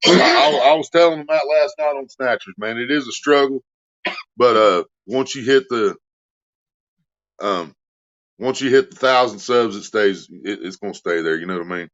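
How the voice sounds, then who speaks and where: A man's voice: medium pitch, moderate pace, a bit bright, muffled recording. A man hosting a casual livestream, cracking crude jokes and reading out donations. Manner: crude joking, reads donations aloud. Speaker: livestream host.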